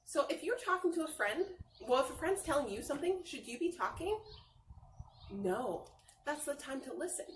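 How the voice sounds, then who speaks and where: A woman reading a picture book aloud like a teacher, talking to young children in a simple, encouraging, expressive way with drawn-out phrases. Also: high-pitched. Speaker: read-aloud storyteller and teacher. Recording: roomy echo, distant mic, faint noise.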